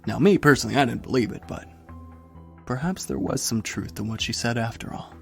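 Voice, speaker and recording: A man's voice: medium pitch, animated, brisk, creaky, faint music behind. A man playing a young rookie cop with a sly double side in a noir audio drama. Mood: smug, sly. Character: young male rookie cop, seemingly eager, secretly a sly informant.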